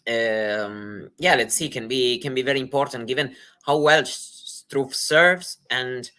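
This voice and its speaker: Slow, halting man analysing tennis. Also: medium pitch, sharp tone. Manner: hesitant, many fillers and restarts. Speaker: tennis co-commentator.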